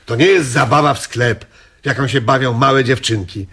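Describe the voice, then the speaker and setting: An actor playing a stubborn, thrifty husband with a small business in a radio comedy, speaking earnestly with a husky voice. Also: male voice, medium pitch, moderate pace, fairly bright tone. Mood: firm, lecturing. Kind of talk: radio drama acting, domestic comedy.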